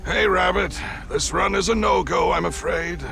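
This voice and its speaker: A fretful small animal worker from a video game, anxious and strained. The voice is high and extremely creaky, with music clearly underneath. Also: male voice, normal speed, sharp tone, full character voice. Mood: apologetic, worried.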